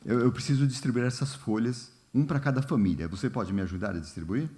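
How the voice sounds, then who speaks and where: A man leading a church Bible study in a relaxed, informal way, often pausing with fillers and asking the congregation questions. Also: medium pitch. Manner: unhurried, many fillers and "né?", asks the congregation questions. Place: church hall.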